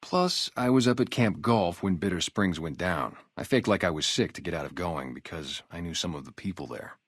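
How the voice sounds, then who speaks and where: A low-pitched, streetwise male sniper from a post-apocalyptic video game. He is a guarded, loyal former gang member turned town guard. His casual talk moves freely up and down, recorded close and dry. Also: lively intonation, moderate pace, slightly rough, dry recording. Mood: bitter, reflective. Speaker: security guard and former soldier. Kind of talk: video game character dialogue, naturalistic.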